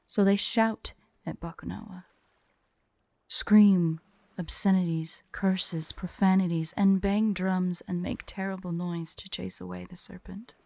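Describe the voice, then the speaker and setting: A woman voicing a proud, wistful dragon in an ASMR roleplay, speaking in a formal, measured way with breathy, hushed drops. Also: on the low side, flat delivery, dry recording. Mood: solemn storytelling. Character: proud, wistful female dragon hoarding treasure, tsundere. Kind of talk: ASMR roleplay with slow myth storytelling.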